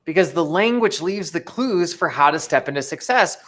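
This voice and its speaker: A man's voice: a little high, animated, moderate pace, a bit bright. A young man co-hosting a live motivational stream, talking casually and eagerly reading viewers' chat messages aloud. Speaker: co-host of live motivational show.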